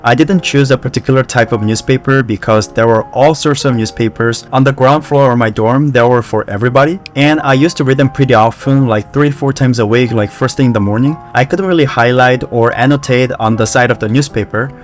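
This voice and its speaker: A young man from Korea with a Korean accent, talking to camera in a relaxed, conversational way about how he learned a language. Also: mid-range voice, a little fast, hardly any pauses, faint music behind. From South Korea. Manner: relaxed, conversational, with fillers like "you know" and "like". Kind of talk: casual talk to camera.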